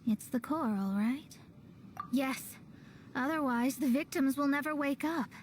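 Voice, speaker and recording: A woman's voice: sits high, unhurried, a little hiss or hum. A woman playing a self-assured, thoughtful young astrologer in a video game cutscene, formal and articulate, with musing theatrical delivery. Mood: pensive, decisive.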